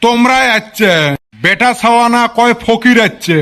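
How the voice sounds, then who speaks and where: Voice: medium pitch, normal speed, fairly bright tone, close to an everyday voice. A performer voicing a suspicious, nosy parent of two troublesome boys in a comic cartoon dub, questioning everything in disbelief. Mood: inquisitive. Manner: questioning, incredulous. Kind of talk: comic dialect cartoon dubbing.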